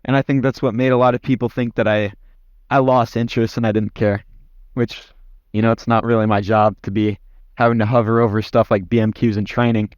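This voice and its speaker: A man's voice: medium pitch, little pitch movement, a little fast, darkish tone, dry recording, highs cut off. A man giving casual interview answers in a blunt, offhand way, swearing freely. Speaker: interview guest, former commander in an online roleplay community.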